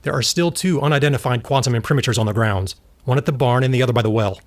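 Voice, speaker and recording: A man's voice: mid-range voice, fast talker, natural voice. An actor playing an earnest male scientist in an audio drama, speaking in a precise, explanatory way. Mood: grave, urgent. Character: earnest male scientist, husband absorbed in his work.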